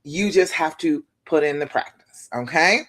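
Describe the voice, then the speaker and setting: Woman, deep voice, relationship coach, casual livestream talk, reassuring, phone mic. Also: normal speed. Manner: warm, chatty, reassuring, tag questions like "okay?". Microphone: phone live stream.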